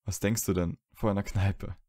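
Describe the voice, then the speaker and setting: A man's voice: fairly low pitch, fairly even intonation, normal speed, dark tone, dry recording, clean studio sound, close to an everyday voice. A man playing a wryly sullen, lovesick young wizard in a fan fiction audio drama, casual and understated. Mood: wry, annoyed.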